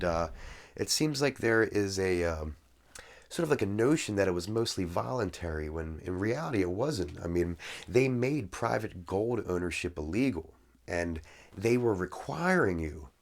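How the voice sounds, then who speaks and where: A man with a hoarse voice from a cold, talking casually to his viewers and ranting with indignant opinions, often restarting his phrases. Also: low voice. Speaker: video creator. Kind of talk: casual monologue on economic history.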